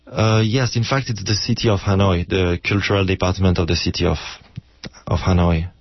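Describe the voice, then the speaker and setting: Male interviewee, low register, non-native English speaker, halting delivery, muffled radio sound. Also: steady tone, dry recording. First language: not English. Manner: halting, searching for words. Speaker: interviewed documentary co-director and translator. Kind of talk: unscripted interview answers.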